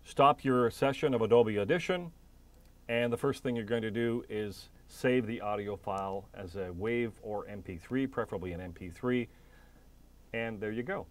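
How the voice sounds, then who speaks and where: A man's voice: fairly low pitch, moderate pace. Man giving step-by-step instruction. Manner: methodical, step-by-step explaining. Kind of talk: instructional tutorial narration.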